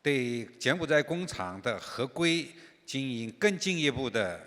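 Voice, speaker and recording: An older man whose first language is Chinese, answering on a business panel in a halting way with frequent pauses and fillers. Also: medium pitch, sing-song, fairly bright tone. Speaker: industry association chairman. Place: large conference hall. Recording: reverberant conference hall.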